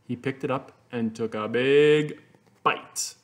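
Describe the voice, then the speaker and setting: A man's voice: medium pitch, slow pace. A man reading a children's picture book aloud in a theatrical way, drawing out words and playing with sound effects. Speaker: storybook reader.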